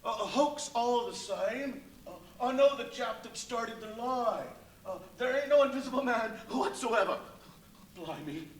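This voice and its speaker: Evasive vagrant, radio play, jittery halting speech, high pitch, distant echoing live venue. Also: male voice, lively intonation. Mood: blustering. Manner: nervous, halting, stammering. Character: jittery, evasive tramp hiding a secret. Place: live stage performance in a brewery venue. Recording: echoey, noisy live venue, far from mic.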